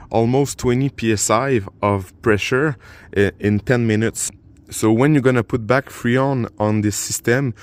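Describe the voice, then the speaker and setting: A man with a French accent talks through a car repair while he works. His voice sits at an ordinary middle pitch, and he stops often on uh and repeated words. It sounds like a phone recording made in a garage and under the car. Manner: halting explanations with frequent uh and repeated words. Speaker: DIY car repair vlogger. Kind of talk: casual hands-on repair walkthrough. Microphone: phone camera while working.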